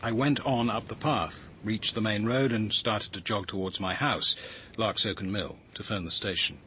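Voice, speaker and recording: Grave, low-voiced male detective. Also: steady tone, bright, forceful tone, slight background noise. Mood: reflective, measured. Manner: measured, literary, deliberate. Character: grave, reflective male police detective recounting his evening. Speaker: radio drama lead actor. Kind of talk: narrated radio drama acting.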